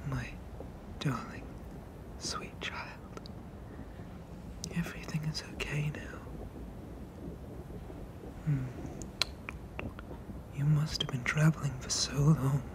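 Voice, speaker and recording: A tender sea monster father, played by an ASMR performer whispers to a child in a breathy hush. The delivery is extremely slow and soothing, doting and possessive, in the manner of an intimate roleplay. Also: mid-range voice, natural voice. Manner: soothing, hushing, slow and deliberate.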